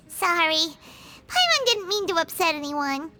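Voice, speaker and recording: Tiny, squeaky fairy companion. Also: female voice, very animated, takes it slowly, very noisy, full character voice. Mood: apologetic. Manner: refers to self in third person, blurts reactions. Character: tiny excitable floating fairy companion, childlike and fretful. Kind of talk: English video game voice acting. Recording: game music under the voice.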